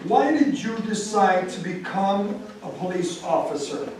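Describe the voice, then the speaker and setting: A man's voice: high voice, expressive, measured pace. A man informally moderating a community panel at a public meeting, giving plain instructions and repeating his questions. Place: large echoey room at a public meeting. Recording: very echoey hall, distant mic, crowd noise.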